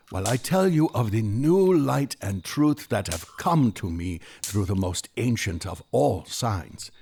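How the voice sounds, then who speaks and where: An actor in an audio drama playing an earnest, devout Magus, speaking in a solemn, impassioned, oratorical manner. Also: male voice, on the low side, slight background noise. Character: Artaban, earnest devout male Persian Magus, fervent seeker.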